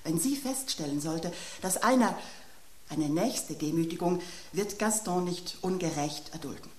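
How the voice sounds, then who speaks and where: A woman's voice: on the low side, unhurried, close to an everyday voice. An actress playing a dry, analytical woman in a radio drama, listing dangers with insistent emphasis. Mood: insistent warning. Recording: roomy, echoing sound.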